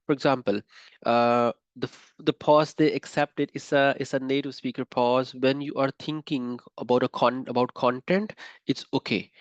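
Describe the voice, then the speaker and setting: A man's voice: mid-range voice, steady tone, moderate pace, no echo. A man with a Pakistani English accent leads an online exam-prep class, explaining patiently with plenty of examples. His voice comes through a dull, lo-fi video call with a dry, close sound. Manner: explanatory, uses fillers and examples. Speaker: IELTS instructor. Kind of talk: online webinar lesson.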